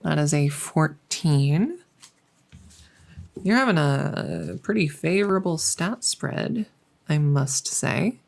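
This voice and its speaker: A woman playing a friendly, chatty dungeon master in a soft-spoken ASMR roleplay, musing and hesitant as she talks intimately to the listener. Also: sits low, expressive, slow, creaky, heavily acted. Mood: relaxed, musing. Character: friendly female dungeon master, chatty, teasing, doting cat owner.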